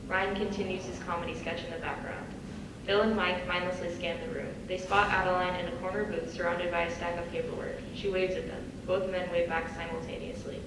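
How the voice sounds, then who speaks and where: A woman reads scripted stage directions in a lowish voice, recorded from far off in a big, ringing hall. Clear noise runs under her narration. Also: flat delivery, fairly quick. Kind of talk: scripted narration of a screenplay at a table read. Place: large reverberant room, recorded from afar.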